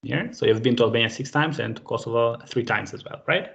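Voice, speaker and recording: A man's voice: mid-range voice, quick pace, vocal fry, a bit bright. A man leading a live online group language lesson over a video call, patient and friendly, with many fillers. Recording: dull lo-fi call audio.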